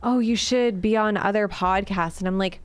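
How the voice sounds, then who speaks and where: Woman, comedian guest, rambling chatter, smooth voice, dry close sound. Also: medium pitch, normal speed, no echo. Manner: rambling, full of "like" and restarts. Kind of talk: casual chat.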